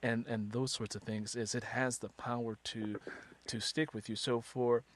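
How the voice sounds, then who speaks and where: A man's voice: mid-range voice, flat delivery, normal speed, a little hiss or hum. A man hosting a conversational literary interview podcast, chatty and wordy, repeating phrases for emphasis.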